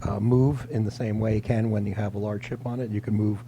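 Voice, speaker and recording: A man moderating a stage conversation, chatty and quick with his questions, on a handheld mic. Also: fairly low pitch, little pitch movement, mellow, a little hiss or hum. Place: theater stage before an audience. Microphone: handheld microphone.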